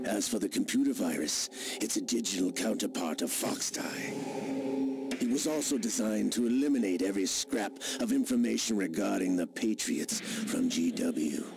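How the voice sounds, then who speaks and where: Low, gravelly smug villain. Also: male voice, lively intonation, full character voice. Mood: cold, explaining. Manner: deliberate, theatrical, taunting. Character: smug, cold older male villain revealing his master plan. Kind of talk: dramatic video game cutscene acting. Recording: loud noise behind the voice.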